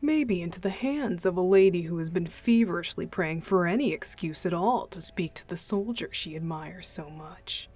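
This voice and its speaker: A woman voicing a playful, mischievous young male deacon in an unhurried, teasing ASMR roleplay style. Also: fairly low pitch, animated, a little mellow, soft background music. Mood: sly, musing. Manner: teasing, unhurried, chuckling, breathy asides.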